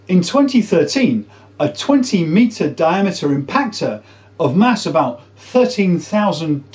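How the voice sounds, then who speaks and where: A man in his forties explaining science to camera as a presenter, with emphatic, drawn-out stress on key words. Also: high voice, darkish tone, slight background noise. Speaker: presenter of a space science video series. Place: small indoor room.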